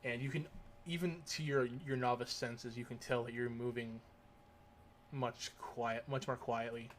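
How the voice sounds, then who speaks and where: A man running a tabletop roleplaying game speaks slowly and haltingly, filling his pauses with hesitation sounds. His voice sits at a middle pitch. A faint room ambience hums behind him. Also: steady tone, a little hiss or hum. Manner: halting, filler-heavy. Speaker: game master.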